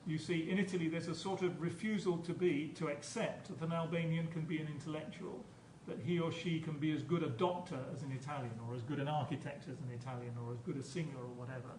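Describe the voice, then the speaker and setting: A man's voice: fairly high pitch, fairly even intonation, fast, few pauses, a little mellow. A man giving an academic conference lecture as a professor, explaining hesitantly and often repeating his words. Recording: echoey room, distant mic, background noise.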